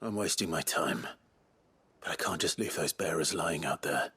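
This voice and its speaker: A man playing a brooding, defiant British warrior in a video game, grave, measured and quietly menacing. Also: a bass voice, slightly rough, exaggerated voice. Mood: weary, resolute.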